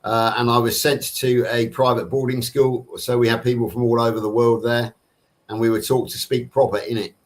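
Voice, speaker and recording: A man's voice: mid-range voice, level tone, fairly quick. A middle-aged man from Somerset with a nondescript Southern English accent, chatting casually on a livestream and answering viewers in a wordy, self-rephrasing way. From Somerset, England. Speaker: panel participant on a livestream. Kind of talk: casual live chat, answering viewers' questions.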